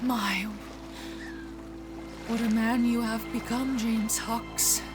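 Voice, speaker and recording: An actress playing a dignified, reproachful leader of a peaceful island people in an audio drama, speaking gravely. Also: female voice, fairly high pitch, steady tone, takes it slowly. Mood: sorrowful reproach. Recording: loud music under the voice.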